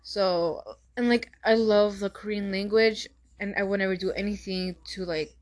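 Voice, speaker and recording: A young woman hosting a casual solo podcast, talking fast with frequent stutters and restarts. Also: mid-range voice, steady tone. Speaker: podcast host.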